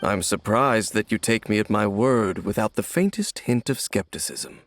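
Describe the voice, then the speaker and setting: An actor playing a grave, world-weary, mysterious wanderer in a video game, speaking in a solemn, formal manner. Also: male voice, fairly low pitch, animated, normal speed, a little hiss or hum. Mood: grave, probing.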